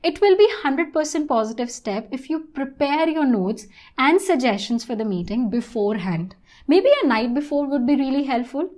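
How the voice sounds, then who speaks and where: A woman presenting an online English lesson in a scripted, instructional style, with deliberate and emphatic phrasing. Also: high-pitched, lively intonation, darkish tone.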